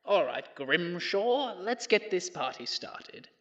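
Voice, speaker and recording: An actor playing a cocky, combative populist prime minister with brash, glib oratory in an audio drama debate. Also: male voice, high voice, sing-song, slow pace, a bit bright, dull audio, full character voice.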